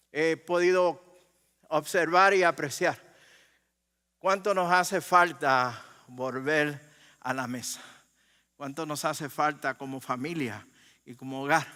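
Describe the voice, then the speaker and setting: A man with a Puerto Rican accent preaching as a pastor, telling stories in deliberate phrases with pauses, in a conversational way. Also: a little high, sharp tone, clean tone. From Puerto Rico. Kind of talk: sermon. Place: large room, speaking to a congregation. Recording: reverberant hall sound.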